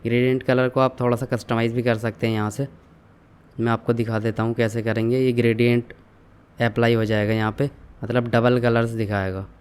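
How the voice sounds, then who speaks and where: A young man calmly walking viewers through an online store setup, step by step, mixing English terms into his explanations. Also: medium pitch, brisk, smooth. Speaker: online tutorial instructor. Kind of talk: screen-share software walkthrough.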